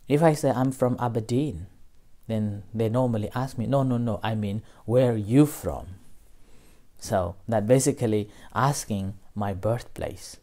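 Slow, soft-spoken man giving a dharma talk. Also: fairly low pitch. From Nepal. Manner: slow, gentle, with pauses and fillers. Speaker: meditation teacher. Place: small room indoors.

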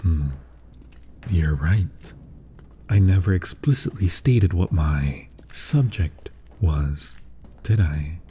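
A man playing a proud, obsessive mad scientist in a gothic horror ASMR roleplay, husky and breathy, speaking formally and theatrically and often dropping toward a whisper. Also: deep voice, lively intonation, takes it slowly, dark tone, slight background noise. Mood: eager, enticing.

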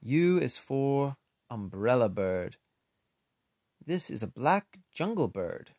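A man reading a children's picture book aloud in an expressive, unhurried way, with casual, playful asides. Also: medium pitch, no room sound, clean studio sound.

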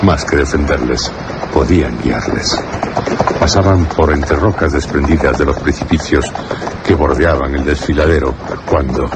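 A man's voice: rumbling low, moderate pace, very noisy. A man with an accent from Spain narrating a scripted radio drama.

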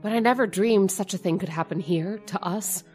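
A woman's voice: medium pitch, normal speed, darkish tone, lightly acted. A fretful, thrifty landlady who stammers, hurries and fusses eagerly over her guests, as a woman acts her out. It is an old-time radio horror play with faint music behind. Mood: anxious. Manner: flustered and stammering, eager to please. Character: anxious, eager landlady, middle-aged, thrifty. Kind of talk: radio drama acting.

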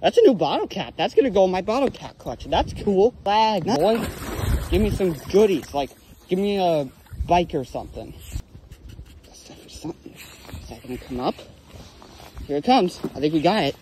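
Young male vlogger, high lively voice, mellow tone, excitable outdoor commentary, faint ambience. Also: moderate pace, long pauses, a little hiss or hum, poor audio. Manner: excitable, exclaims over finds. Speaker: magnet fishing vlogger. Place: outdoors on a lake dock. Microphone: handheld phone or body camera.

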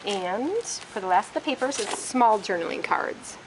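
A woman's voice: medium pitch, lively intonation, moderate pace, background noise. A woman hosting a casual product walkthrough in a large warehouse, plainly naming each item one by one while she films from behind the camera. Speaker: store host showing products. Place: large warehouse with wire shelving. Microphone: handheld camera, speaker behind it.

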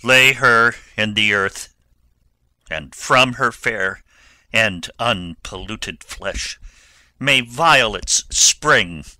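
Guy doing a hot-headed grieving nobleman, shakespeare style, really sharp and tense, slow declaiming. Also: mid-range voice, no room sound, full character voice. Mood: bitter grief. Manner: declamatory, forceful. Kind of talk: dramatic Shakespeare audiobook reading.

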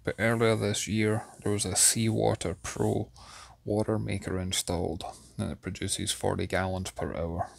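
Gravelly low-voiced man touring a yacht. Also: normal speed. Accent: Scottish. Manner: steady, descriptive, enthusiastic about details. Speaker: yacht reviewer giving a walkthrough tour. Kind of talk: guided video tour narration. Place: inside a yacht cabin.

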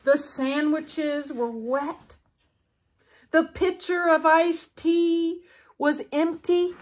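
A woman reading a children's bedtime story in a deliberate, expressive way with dramatic pauses. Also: high-pitched. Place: living room with brick fireplace, indoors.

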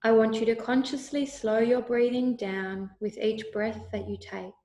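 A woman leading a guided meditation with calm, soothing, deliberate instructions. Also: a little high. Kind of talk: slow guided meditation narration. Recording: roomy, echoey voice recording.

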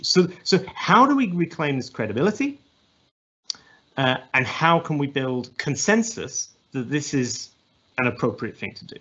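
A man in his thirties speaks with an English accent, presenting to a webinar audience over a video call. His voice is husky and mid-pitched, and he often restarts and fills pauses as he works through his points. The audio is slightly dull. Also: a bit bright. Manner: thoughtful, many restarts and fillers. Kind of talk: academic presentation.

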